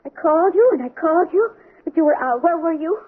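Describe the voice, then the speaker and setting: An actress playing a jealous, fearful wife in a 1970s radio drama. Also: female voice, extremely high pitch, expressive, fast. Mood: anxious. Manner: clingy, anxious. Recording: tinny old radio broadcast.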